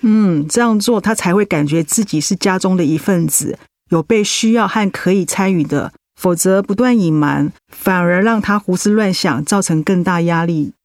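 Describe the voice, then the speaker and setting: An actress playing a polite, reassuring schoolteacher in a radio drama, with an explanatory manner. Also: female voice, lowish voice, moderate pace, hardly any pauses, a little mellow, no room sound, studio quality, close to an everyday voice.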